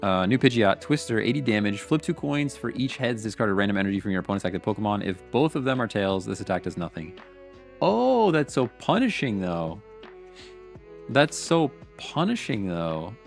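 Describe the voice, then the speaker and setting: A man's voice: fairly low pitch, very animated, normal speed, gravelly, soft background music. A man with a congested, sniffly voice, casually reading card text aloud and reacting with wry opinions as a streamer. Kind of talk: casual pack-opening commentary.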